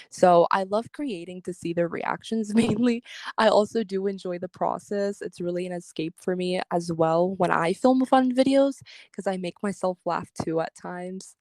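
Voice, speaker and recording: Upbeat young woman with a low alto. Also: darkish tone, no echo, clean studio sound. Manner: upbeat, laughs often, filler-heavy. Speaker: guest, singer and content creator. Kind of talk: casual interview answers.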